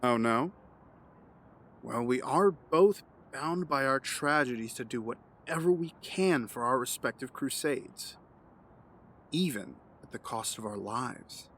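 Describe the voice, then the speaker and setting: An actor playing a calm, condescending, menacing villain mastermind in an audio drama, measured and taunting. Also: male voice, mid-range voice, a little hiss or hum. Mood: smug, persuasive.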